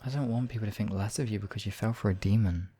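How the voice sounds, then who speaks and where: A man's voice: lowish voice, quick pace, dark tone, husky. A man voicing a devoted demon king in an intimate ASMR roleplay, speaking in a courtly, tender and teasing way. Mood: reassuring. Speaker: voice actor in audio roleplay. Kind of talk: ASMR romantic roleplay acting.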